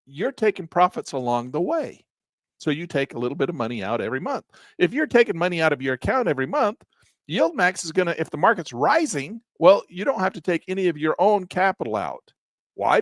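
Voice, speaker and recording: An older man teaching options trading in a live session, animated and exasperated, breaking into mocking high voices and low grumbles. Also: a little fast, no echo. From Southern Utah. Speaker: trading educator. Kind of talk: live-stream trading lesson, off-the-cuff rant.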